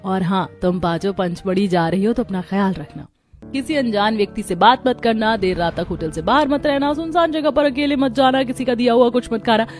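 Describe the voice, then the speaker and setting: A woman's voice: fairly high pitch, a little fast, close to an everyday voice. Earnest, nagging mother voiced by a woman, music behind. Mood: fond scolding. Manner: admonishing, earnest. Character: worried, scolding middle-aged mother of five daughters. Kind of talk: Hindi moral story voice acting.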